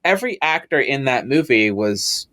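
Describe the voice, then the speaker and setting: A man on a casual online panel, loud and profane, rambling with lots of fillers. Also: medium pitch, very animated, normal speed. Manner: loud, swears freely, rambling with many fillers.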